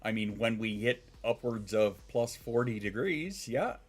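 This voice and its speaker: A man's voice: fairly low pitch, lively intonation, measured pace, breathy edge, faint music behind. A man in his thirties, congested and sniffling, chatting casually on a live stream, rambling, swearing and reacting to chat. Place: home.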